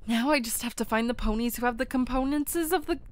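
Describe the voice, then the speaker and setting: A woman's voice: on the high side, fast talker, lightly acted. A woman voicing a cautious, determined female pony adventurer musing to herself on a noisy home recording. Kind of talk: amateur audio drama acting.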